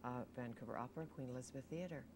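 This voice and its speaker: A woman's voice: extremely low pitch, very fast, background noise. A middle-aged woman hosting a TV studio interview, chatty and steering the guest with leading questions. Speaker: TV talk show host.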